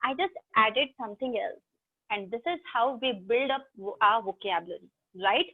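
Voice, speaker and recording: High-voiced Indian teacher over tinny call audio. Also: female voice, expressive, moderate pace, husky. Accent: Indian English. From South India. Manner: chatty, teasing, talks straight to students. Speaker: English teacher. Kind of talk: online class lecture. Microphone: video call.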